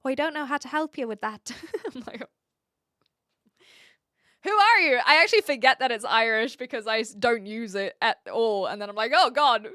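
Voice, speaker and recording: A woman's voice: on the high side, animated, normal speed, sharp tone, no room sound, clean studio sound. A young woman streamer in her twenties, from Australia, chatting casually and rambling as she reads out viewer comments, often giggling.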